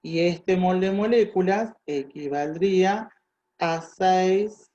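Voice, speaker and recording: A woman tutors chemistry, walking methodically through each calculation and thinking aloud as she goes. Her voice is low and mellow. The recording sounds dull and lo-fi. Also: takes it slowly. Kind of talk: tutoring lesson, explaining calculations step by step.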